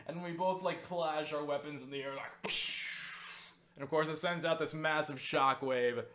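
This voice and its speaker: A young man casually recounting a story for viewers, excitable and full of swearing and filler words. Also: on the high side, moderate pace. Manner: excitable, swears freely, lots of fillers and laughs. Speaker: YouTuber answering viewer questions.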